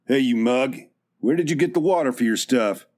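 A man's voice: mid-range voice, moderate pace, a little mellow, natural voice. A man voicing a hot-tempered, bullying gold prospector in a storybook, slangy and sneering. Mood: belligerent, threatening.

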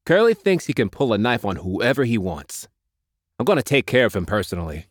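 A man doing a cocky, gruff teen gang leader. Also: mid-range voice, very animated, a little fast, no room sound, studio quality. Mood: tough. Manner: gruff, sarcastic delivery. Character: tough teenage gang leader, cocky and wry.

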